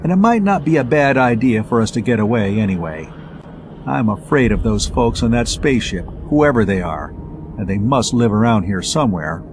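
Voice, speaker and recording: A man's voice: fairly low pitch, expressive, moderate pace, background noise, lightly acted. A man voicing an eager, slangy spaceman inventor in a science fiction audiobook, explaining technical things breezily.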